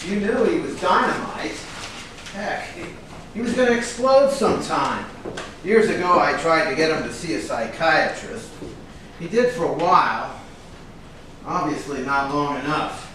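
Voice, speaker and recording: Male actor playing blunt loyal friend, fairly high male voice, stage play reading, heavy room echo. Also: animated, measured pace, a bit bright. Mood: grim, insistent. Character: blunt, protective longtime friend of a violent man. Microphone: distant microphone. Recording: very echoey, distant, noisy room.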